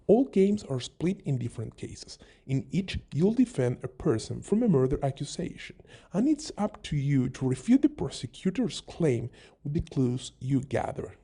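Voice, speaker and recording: A man's voice: on the high side, sing-song, moderate pace, warm tone, slightly rough. A man narrating a scripted video game countdown as host, in an informal explainer manner, posing questions and answering them himself. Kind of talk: scripted video narration, top-five list commentary. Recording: music bed under the voice. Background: background music.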